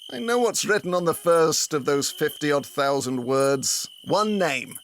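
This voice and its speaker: An actor playing a bitter, boastful retired detective inspector in a comic audio drama, with pompous, world-weary speechifying. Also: male voice, a little high, lively intonation, unhurried, fairly bright tone, slight background noise. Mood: grandiose, brooding.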